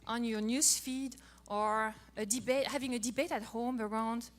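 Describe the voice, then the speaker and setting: Middle-aged french lady, high voice, playful and lively, talking marriage to a crowd. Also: normal speed. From France. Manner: playful, animated storytelling. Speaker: co-host of a marriage talk. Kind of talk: casual talk to an audience.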